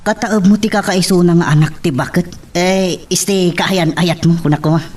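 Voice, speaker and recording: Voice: mid-range voice, steady tone, moderate pace, darkish tone, close to an everyday voice. A performer playing a teasing, scheming buddy in an Ilocano radio drama, with slangy delivery.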